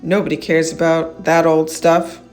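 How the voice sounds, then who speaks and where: A woman voicing a grouchy old man in a children's storybook, speaking gruffly and gravely. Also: sits low, little pitch movement, unhurried, a little mellow, faint music behind, pitched below the performer's own voice. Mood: grumbling. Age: older. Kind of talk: children's storybook character reading.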